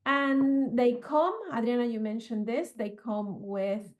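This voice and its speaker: A woman from Venezuela with a Venezuelan accent, presenting as an author in a measured, conversational way. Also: on the high side, fairly even intonation.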